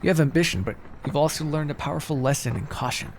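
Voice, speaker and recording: A man playing a kindly, encouraging necromancer in an ASMR fantasy roleplay, speaking in a gentle, reassuring, conversational way. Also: fairly high pitch, expressive, fast talker, vocal fry, slight background noise. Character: kindly, encouraging necromancer master, earnest and casual.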